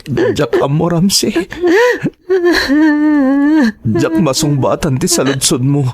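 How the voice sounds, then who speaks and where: Casting: actor as a husky, expressive father, pitch swinging with anguish. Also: takes it slowly, full character voice. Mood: grieving. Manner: urgent, anguished. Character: anxious young father, frantic then grieving.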